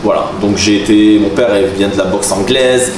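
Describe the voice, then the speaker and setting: A man's voice: fairly low pitch, normal speed. A man in his forties from southern France, telling stories to the camera in a chatty way, laughing often. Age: 40s. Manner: chatty, laughing, animated asides. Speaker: vlogger, taekwondo master. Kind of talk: casual storytelling to camera. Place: room with a camera crew. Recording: roomy, mic at a distance, background noise.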